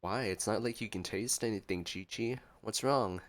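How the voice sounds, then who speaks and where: A man's voice: fairly low pitch, normal speed. A man giving casual, chatty gameplay reaction commentary as a streamer. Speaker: gaming streamer. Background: faint game music.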